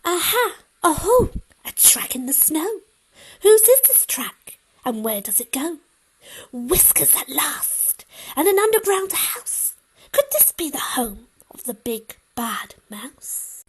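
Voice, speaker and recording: A woman's voice: sits high, very animated, slow, pitched above the performer's own voice, full character voice, poor audio. A woman voicing a brave, curious young monster girl, eagerly exclaiming and questioning. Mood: excited, curious.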